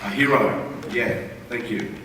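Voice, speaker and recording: A man's voice: medium pitch, measured pace, pushed and bright. Echoey Australian man reading to an audience. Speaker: reader at a theatre forum. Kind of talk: reading a written humorous postcard aloud to an audience. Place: large indoor theatre forum venue. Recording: echoey hall, distant mic, room noise.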